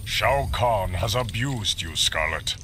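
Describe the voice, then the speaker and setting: A man playing a gruff, menacing monstrous warrior in a fighting video game, gravelly and deliberate in a theatrical way. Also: fairly low pitch, expressive, noisy background. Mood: stern.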